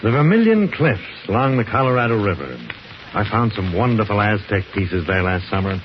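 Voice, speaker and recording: An actor playing a genial, enthusiastic professor and explorer with cultivated speech in a 1950s radio drama. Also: male voice, on the low side, lively intonation, fairly quick, lightly acted. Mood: eager, genial. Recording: muffled 1950s radio recording with hiss.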